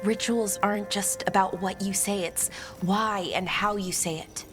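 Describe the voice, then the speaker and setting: A woman's voice: medium pitch, fairly even intonation, unhurried, lightly acted. A woman playing an earnest, scholarly young elf woman in grief, talking in a rambling, unsure way in a video game. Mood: wistful, uncertain. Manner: rambling, hesitant, self-correcting. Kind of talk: video game dialogue acting. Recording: clear game music behind the voice. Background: game music.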